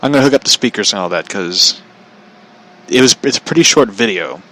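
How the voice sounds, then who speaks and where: A man's voice: fairly low pitch, expressive, a little fast, fairly bright tone, rough recording. A man telling stories in a casual, rambling, foul-mouthed way as a podcast host, incredulous and repeating himself for emphasis.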